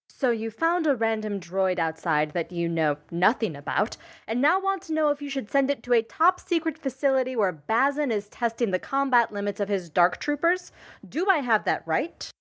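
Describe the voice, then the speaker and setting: A woman's voice: a little high, very animated, moderate pace, a bit bright. A woman playing a stern, exasperated Imperial commander. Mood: incredulous. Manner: scolding, exasperated, clipped orders. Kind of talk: tabletop game role-play.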